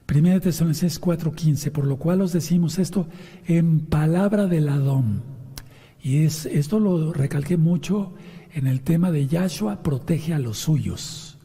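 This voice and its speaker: A man preaching and teaching from scripture in a hoarse voice, addressing his listeners directly with questions and instructions. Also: a little high, unhurried, mellow. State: hoarse voice from sinusitis after an operation. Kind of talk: sermon with scripture reading and commentary. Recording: long reverberant hall sound.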